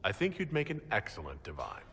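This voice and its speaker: A man's voice: on the low side, huge pitch swings, fairly quick, creaky, a bit bright, faint music behind, heavily acted. A man playing a confident, probing leader in a fantasy video game, with a direct, questioning manner. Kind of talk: dramatic video game dialogue.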